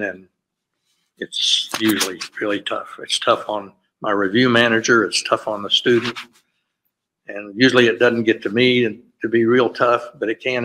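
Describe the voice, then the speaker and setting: Lower-voiced older man explaining procedures. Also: poor audio. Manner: steady, explanatory, with frequent "uh" fillers. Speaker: panelist, graduate school administrator. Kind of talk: conference panel talk over video call. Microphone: video call.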